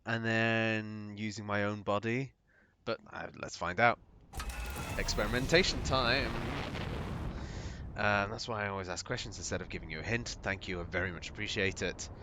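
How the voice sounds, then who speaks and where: A man streaming a video game and chatting with viewers, thinking aloud with lots of ums, his voice gravelly from a recent cold. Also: lowish voice, fairly even intonation, moderate pace, noisy background. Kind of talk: live gameplay commentary, chatting with viewers. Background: game music.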